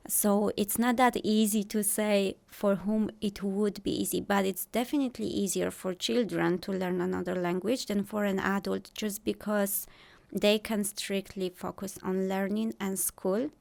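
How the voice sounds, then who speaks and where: A woman in her thirties with a Polish accent, explaining her views calmly as a guest on a talk show. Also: medium pitch, a little slow, gravelly. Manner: thoughtful, explanatory, frequent "like" and "you know". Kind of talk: casual interview talk.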